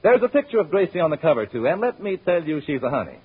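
American man, old-time radio announcer, emphatic sales delivery, animated pitch, husky edge, muffled vintage broadcast recording. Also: quick pace. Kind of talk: 1930s-40s radio advertising pitch.

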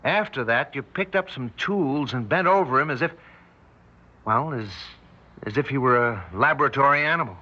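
A man's voice: medium pitch, expressive, sharp tone. Tense, haunted man, old radio. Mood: shaken, urgent. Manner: urgent, haunted, halting delivery. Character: shaken, anxious young scientist recounting a horror. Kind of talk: 1960s radio drama acting, narration and dialogue. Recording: dull vintage 1960s radio broadcast.

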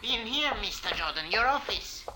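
A servile excitable beggar, very high bright voice jumping around, urgent, old radio drama. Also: male voice, normal speed, a crowd in the background. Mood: excited. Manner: agitated, urgent, deferential. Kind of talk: 1940s radio drama acting.

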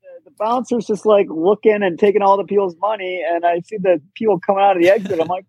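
A man's voice: high-pitched, steady tone, fast, darkish tone, breathy edge, no room sound, poor audio. A man co-hosting a podcast, telling rambling anecdotes in casual chat and correcting himself as he goes.

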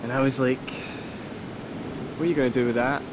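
A male actor plays an earnest man pleading with an estranged partner. He speaks at a middle pitch in halting, repetitive, confessional phrases peppered with swearing. A very loud wash of surf-like rumble runs behind this audio drama. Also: steady tone, natural voice. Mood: earnest, reflective. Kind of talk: naturalistic audio drama acting.

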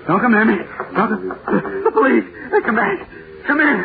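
An actor playing a panicked young horror writer in a 1930s radio drama, pleading and overwrought. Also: male voice, high-pitched, lively intonation, normal speed, creaky, a crowd in the background. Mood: terrified. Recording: noisy, tinny 1930s radio broadcast.

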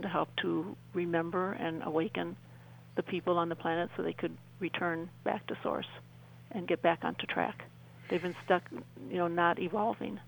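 Creaky-voiced middle-aged woman, even intonation. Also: on the low side, rough recording. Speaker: interview guest. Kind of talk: earnest explanatory radio interview.

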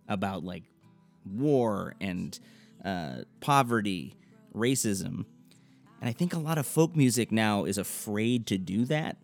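Male interview host, lower voice, easygoing and musing, soft music underneath. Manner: thoughtful, loose phrasing with many "you know"s. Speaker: podcast host. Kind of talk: casual conversational interview.

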